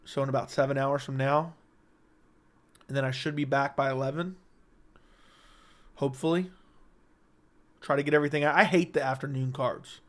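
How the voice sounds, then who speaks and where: A man hosting a casual livestream, reading chat questions aloud and answering them in a joking way, his voice slightly raspy and worn. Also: mid-range voice, fairly even intonation, moderate pace, pauses often, fairly bright tone.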